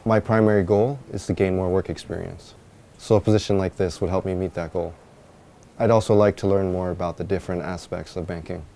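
A man's voice: sits low, fairly even intonation, a little fast, gravelly, dark tone. A man in his thirties playing an earnest, polite job applicant in an office interview, answering carefully in a scripted dialogue. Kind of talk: scripted dialogue for language learners.

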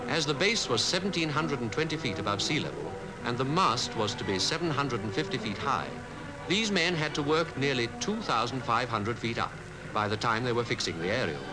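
A man with a British Received Pronunciation accent reading scripted 1950s newsreel narration in a formal, measured way. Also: mid-range voice, animated, music in the background, low-quality recording. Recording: 1950s newsreel archive soundtrack.

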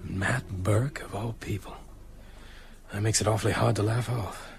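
Wry small-town American doctor, faint noise. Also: male voice, on the low side, steady tone, moderate pace, creaky. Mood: wry, musing. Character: wry, brisk small-town American doctor, sceptical but open-minded. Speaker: actor. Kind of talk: radio drama acting.

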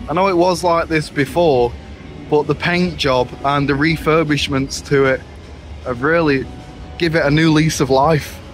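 A man's voice: fairly high pitch, steady tone, unhurried, soft background music, poor audio. A young man giving a casual, enthusiastic vlog tour outdoors at a theme park, recorded on a handheld camera. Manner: chatty, enthusiastic tour guiding.

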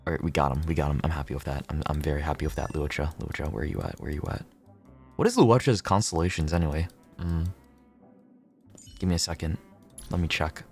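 A man livestreaming a game, chatting casually with viewers, swearing freely, repeating himself and thinking out loud. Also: a bass voice, fairly quick, gravelly, soft background music.

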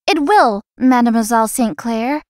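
A woman playing a feisty young florist in a visual novel, earnest and expressive. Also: fairly high pitch, normal speed, no room sound, studio quality, natural voice. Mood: polite. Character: feisty young female florist, polite but privately resentful.

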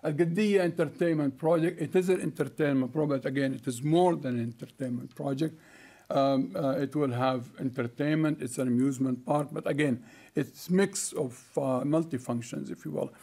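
Older man giving a halting keynote. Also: on the high side, vocal fry, warm tone. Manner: halting, many fillers and restarts. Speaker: keynote speaker. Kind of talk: conference keynote talk. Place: conference hall stage at a lectern. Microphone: lectern microphone.

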